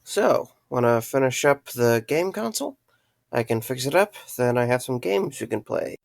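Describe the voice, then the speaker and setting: Guy acting a tired snarky night guard, nice underneath, croaky, close dry audio drama. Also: mid-range voice, lively intonation, moderate pace, no room sound. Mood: easygoing. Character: young male night guard, sarcastic, caring, weary.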